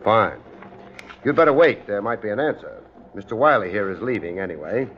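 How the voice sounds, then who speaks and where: An American actor playing a wry, unflappable private detective in a 1950s radio drama, with deadpan, wisecracking delivery. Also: male voice, on the low side, fairly quick, slight background noise, close to an everyday voice. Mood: cool, wry. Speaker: lead actor and narrator. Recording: muffled 1950s radio recording with music.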